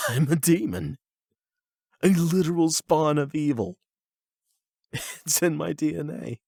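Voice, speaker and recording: A man's voice: a little high, slow, creaky, a little mellow, no echo, clean studio sound, voice pushed up, heavily acted. A man voicing a bitter, self-loathing young delinquent who is half demon, brash, sweary and defensive, in an anime audio roleplay. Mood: agitated, self-loathing.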